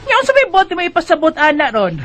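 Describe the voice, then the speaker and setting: A woman's voice: extremely high pitch, wildly swooping pitch, quick pace, fairly bright tone, slight background noise, exaggerated voice. An actress playing an exasperated older mother who nags and reproaches her grown son in a radio drama. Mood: indignant refusal. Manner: nagging, reproachful. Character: scolding, exasperated older mother, a grandmother who refuses babysitting. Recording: dull lo-fi radio drama recording.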